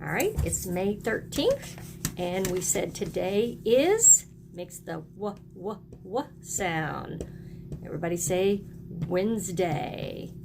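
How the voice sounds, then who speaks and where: A woman with a mid-pitched voice teaches a lesson to young children. She is encouraging, often asking questions and prompting them to repeat. Noticeable noise sits behind her voice. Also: very animated, slow pace, gravelly.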